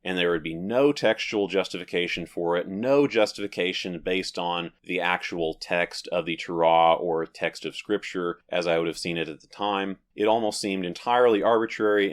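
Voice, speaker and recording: A man talking alone to his audience, explaining his religious views in a careful, measured way and often repeating and rephrasing his points. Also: deep voice, steady tone. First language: English. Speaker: religious video host. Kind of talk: solo talk to camera, measured explanation.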